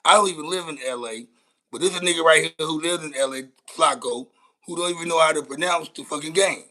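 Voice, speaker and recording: A man's voice: a little high, fast talker, pushed and bright, low-quality recording. An American man giving casual livestream commentary, with a blunt, slangy, repetitive way of talking. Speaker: content creator reacting to news clips.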